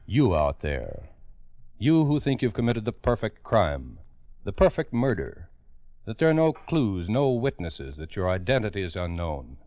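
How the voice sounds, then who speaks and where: A man's voice: fairly low pitch, big pitch swings, measured pace, no echo. An American man narrating an old radio crime program in a solemn, emphatic, theatrical manner, on a muffled recording. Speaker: narrator and host.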